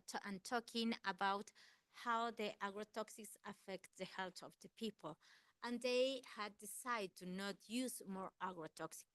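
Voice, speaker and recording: A woman's voice: mid-range voice, fairly bright tone, dry recording. A woman from Ecuador speaking English with an Ecuadorian Spanish accent, giving a seminar talk and answering questions earnestly, searching for words. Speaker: guest speaker, environmental campaigner.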